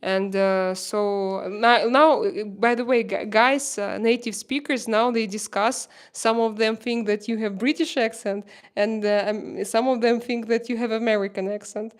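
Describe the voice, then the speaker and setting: Woman, russian accent, fairly high voice, halting, lots of fillers, livestream host. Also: steady tone. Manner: halting, many fillers, laughs often. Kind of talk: casual livestream chat.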